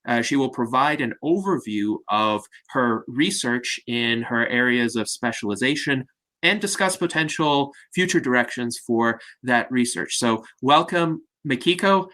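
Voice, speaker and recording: Guy hosting an online panel, formal announcer-like intro, really bright forceful voice. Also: mid-range voice. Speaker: host and moderator. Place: online video meeting.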